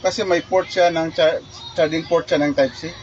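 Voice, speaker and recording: Casual male reviewer, slightly high voice, rambling and repetitive, muffled phone recording. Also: fairly even intonation, normal speed, slight background noise. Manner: chatty, repeats himself, many restarts and fillers. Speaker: product reviewer vlogger.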